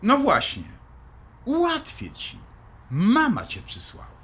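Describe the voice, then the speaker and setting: A man's voice: very high voice, huge pitch swings, slow pace, fairly bright tone, heavily acted. An actor playing a stern, middle-aged father in an audio drama, blunt, commanding and dismissive. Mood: stern, irritated.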